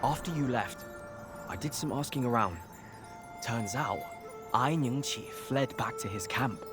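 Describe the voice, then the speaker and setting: Man acting a boastful, unhurried wandering hero. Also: mid-range voice, close to an everyday voice. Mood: proud, boastful. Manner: grandiose, self-assured. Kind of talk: video game cutscene acting. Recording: background music under the voice.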